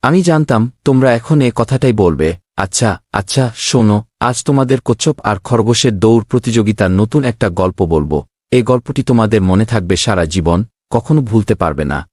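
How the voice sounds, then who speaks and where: A man playing a kindly schoolteacher telling a children's story in a cartoon, animated in delivery. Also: fairly low pitch, no room sound, studio quality.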